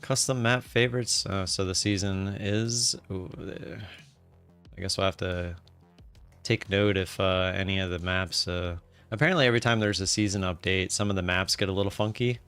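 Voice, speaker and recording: A man's voice: deep voice, fairly even intonation, normal speed, fairly bright tone, smooth, faint music behind. A man in his late thirties chatting casually on a live stream, rambling with many fillers and reading out viewer comments. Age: 30s. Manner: rambling, with frequent "uh" fillers and restarts.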